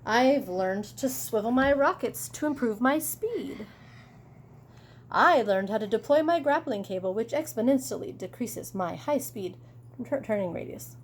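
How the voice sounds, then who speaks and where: A woman's voice: a little high, lively intonation, unhurried, a little hiss or hum. A woman voicing proud male monster truck brothers from a storybook, bragging in technical terms.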